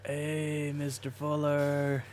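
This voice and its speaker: Man playing a slow-talking stubborn slacker employee. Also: a little high, monotone, darkish tone, slight background noise. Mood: sheepish. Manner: hesitant, mumbly, stubborn. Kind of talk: audio roleplay dialogue.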